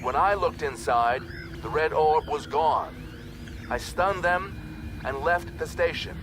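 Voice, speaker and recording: Man voicing a harsh, commanding alien woman, raised pitch. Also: slow pace, noisy background. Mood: grim, businesslike. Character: harsh, commanding female alien scientist over helmet speakers. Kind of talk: audiobook character acting.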